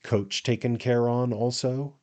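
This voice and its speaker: A man's voice: mid-range voice, fairly even intonation, a little slow, darkish tone, voice pushed up, lightly acted. A man voicing a grave, droll senior coachman with a Cockney accent in a comic storybook. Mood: gravely businesslike. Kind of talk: dramatised audiobook reading of a comic Victorian novel.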